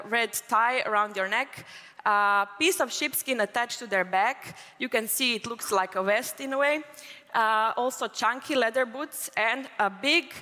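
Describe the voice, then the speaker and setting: Woman, from Croatia, conference keynote, clear bright tone, stage mic, reverberant hall. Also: on the high side. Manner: fluent, explanatory, with occasional fillers. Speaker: conference speaker.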